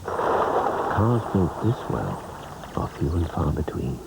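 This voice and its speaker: Deep gravelly male car advert voice. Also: big pitch swings, slow. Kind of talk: scripted car commercial narration. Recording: clearly noisy ambience behind voice.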